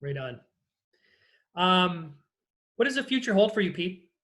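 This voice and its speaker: Bright, higher-voiced male interview host. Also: very animated, unhurried. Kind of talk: casual interview hosting. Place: video call.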